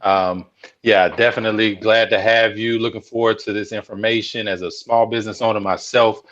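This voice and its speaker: Low-voiced male host on dull audio. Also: little pitch movement, a little fast, fairly bright tone. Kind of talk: live show hosting.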